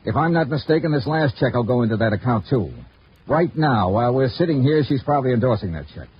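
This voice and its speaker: A man's voice: medium pitch, animated, quick pace, a little hiss or hum, close to an everyday voice. Man acting as terse radio noir investigator. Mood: grim, insistent. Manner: terse, insistent, matter-of-fact. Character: hard-boiled insurance investigator, shrewd, dogged man. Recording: muffled vintage radio recording with music.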